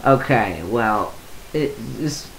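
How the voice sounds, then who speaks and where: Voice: medium pitch, lively intonation, slow pace, fairly bright tone, a little hiss or hum, poor audio. A video game YouTuber reading out news and reacting casually, rambling and hesitant at times, then emphatic.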